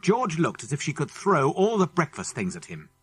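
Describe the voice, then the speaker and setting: Male British audiobook narrator, children's adventure; husky tone, very animated, expressive delivery. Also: a little high, moderate pace. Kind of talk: scripted children's story narration.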